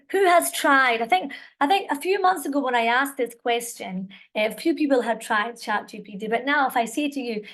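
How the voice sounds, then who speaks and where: A middle-aged woman with a Scottish accent leads a live online session for teachers. Her voice sits a little high, and her tone is chatty and encouraging. She keeps checking in with her listeners, heard through a computer mic on a video call. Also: fairly bright tone. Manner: chatty, encouraging, lots of "all right" and "okay" check-ins. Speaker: webinar presenter and teacher trainer.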